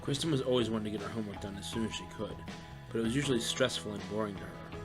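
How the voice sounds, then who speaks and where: A man's voice: fairly low pitch, racing, music in the background. A man reading scripted fiction as the narrator of a dramatized audiobook.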